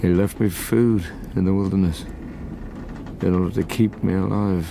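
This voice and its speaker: An actor playing a weary, dying, tormented scientist in a gothic audio drama, speaking gravely and haltingly. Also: male voice, low-pitched, fairly even intonation. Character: weary, dying, tormented male scientist warning against ambition. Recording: clear background ambience noise.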